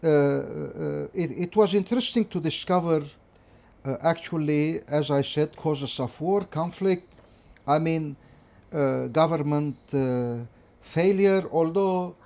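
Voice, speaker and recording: Middle-aged lebanese guy, kinda high voice but dark mellow tone, lots of uh's and restarts. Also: creaky. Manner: measured, hesitant, many fillers and restarts. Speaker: guest, political science professor. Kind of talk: interview, personal recollection.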